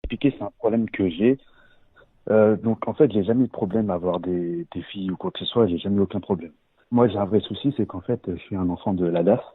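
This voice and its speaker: Brief: low, husky male caller, earnest and halting, dry tinny phone line. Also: dry recording. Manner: earnest, halting, many fillers. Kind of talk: earnest personal confession.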